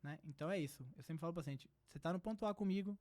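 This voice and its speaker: A young man from Brazil talks as a podcast guest, earnest and reflective. His voice sits high for a man and the words come quickly. The studio sound is dry and close. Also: darkish tone, no echo. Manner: earnest, reflective, many filler "né". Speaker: psychiatrist guest. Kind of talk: casual podcast conversation.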